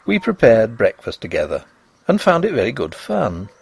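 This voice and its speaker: A man reads literary fiction as a storyteller, with measured, dramatic phrasing. His mid-pitched voice has a husky grain and rises and falls widely across each sentence. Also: wildly swooping pitch, darkish tone. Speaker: narrator. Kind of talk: expressive literary audiobook narration of a gothic story.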